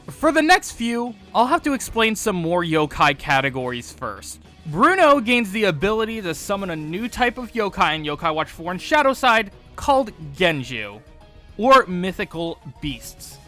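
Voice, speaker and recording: A man's voice: sits high, bright, forceful tone. A man hosting a fan video, delivering scripted explainer commentary with informal, opinionated asides. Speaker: host of a fan video about game name localizations.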